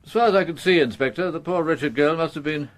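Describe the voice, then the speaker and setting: An actor playing a fussy upper-class English gentleman in a radio crime drama, languid, grumbling and well-mannered. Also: a little high, quick pace, close to an everyday voice. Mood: unconcerned.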